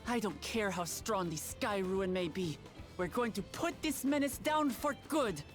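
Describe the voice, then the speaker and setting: A woman's voice: mid-range voice, animated, unhurried, natural voice. A woman voicing an earnest, bold young princess in a fantasy game, with a determined, declarative delivery. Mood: rallying. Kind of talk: fantasy video game acting. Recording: game music behind the voice. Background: music.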